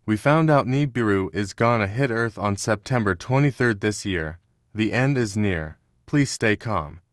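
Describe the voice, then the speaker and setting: A man's voice: lowish voice, expressive, measured pace, no echo, studio quality. A man playing a grave emergency alert announcer who reads doomsday warnings in a formal, solemn manner. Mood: grave, urgent. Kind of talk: scripted emergency broadcast announcement.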